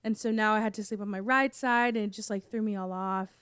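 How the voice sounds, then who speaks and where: A young woman, still hoarse from being sick, chats casually on a podcast and coughs now and then. She rambles through fillers and restarts. Her voice comes through smooth and close, with no room sound. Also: mid-range voice, steady tone, fairly quick, a bit bright, no echo. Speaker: podcast co-host. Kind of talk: casual chat.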